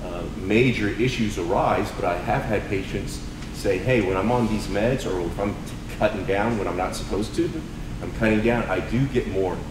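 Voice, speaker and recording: A man who is a doctor speaking on a panel in a measured, hesitant way. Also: fairly low pitch. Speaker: doctor who prescribes medication. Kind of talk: panel discussion. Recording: distant mic in echoey room with noise.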